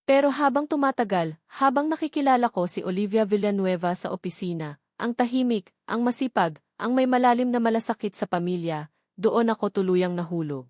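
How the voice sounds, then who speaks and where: A woman voicing an earnest, devoted young man, a company boss, speaking calmly in a storybook romance. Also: mid-range voice, fairly quick, no room sound, studio quality, natural voice. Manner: calm, earnest.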